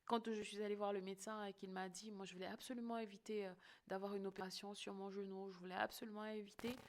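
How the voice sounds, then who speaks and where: Woman doing a pep-talk podcast, emphatic, keeps checking in w the listener, medium pitch. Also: flat delivery, fast. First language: French-English bilingual. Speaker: podcast host and coach. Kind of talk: motivational podcast monologue.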